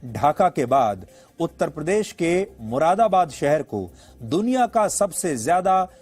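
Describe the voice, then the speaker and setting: A man's voice: on the high side, very animated, measured pace, a little mellow, slightly rough. A man presenting as a news anchor, delivering an editorial commentary in an emphatic, rhetorical, explanatory manner.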